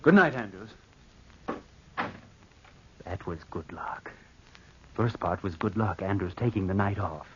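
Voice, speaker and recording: An actor playing a coldly scheming, murderous nephew in an old-time radio drama, speaking with careful deliberation. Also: male voice, low voice. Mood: curt, impatient. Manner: slow, deliberate, hushed narration and hesitant dialogue. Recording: muffled vintage radio recording with faint noise.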